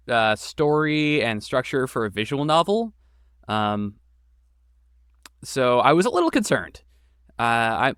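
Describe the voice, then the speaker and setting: Smooth-voiced man talking thoughtfully. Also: mid-range voice, no room sound, studio quality. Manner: thoughtful, relaxed, with frequent fillers. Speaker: game creator and voice actor. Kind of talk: podcast interview guest.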